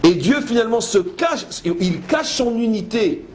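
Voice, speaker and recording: Male rabbi, religious lecture, higher-pitched voice, emphatic, didactic, noisy live recording. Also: expressive, measured pace. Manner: emphatic, didactic, rhetorical questions; switches between French and quoted Hebrew verses. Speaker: rabbi teaching a Torah class. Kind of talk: religious lecture with commentary on scripture.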